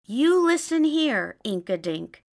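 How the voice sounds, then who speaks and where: A cross little mouse scolds and makes demands in a very high, squeaky voice, as a woman reading a storybook puts him on. His pitch leaps and swoops with fussy indignation. He sounds every bit the bossy storybook mouse. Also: very animated, takes it slowly, gravelly, a little mellow, exaggerated voice. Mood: scolding. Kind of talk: storybook character voice.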